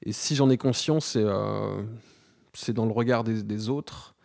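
A man in his thirties speaking candidly in an interview, slangy and halting, with many restarts and fillers. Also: fairly low pitch, vocal fry, darkish tone, dry recording, studio quality. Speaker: guest.

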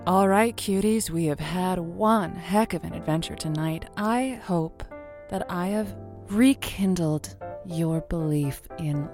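A woman's voice: on the low side, a little mellow. An actress playing an effusive, coaxing female radio host who gives chatty, encouraging romance advice in an audio drama. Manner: effusive, coaxing, pet names for listeners. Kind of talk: semi-improvised audio drama, late-night call-in radio host.

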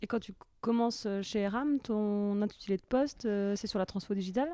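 A woman hosting a business podcast, reading a brisk, composed introduction and then interviewing her guest. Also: medium pitch, warm tone, no echo. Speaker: podcast host.

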